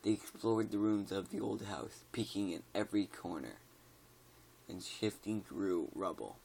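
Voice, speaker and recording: A man's voice: lowish voice, measured pace, vocal fry, darkish tone, husky, poor audio. A tired man casually reads a scary story aloud, stumbling over words and joking about them.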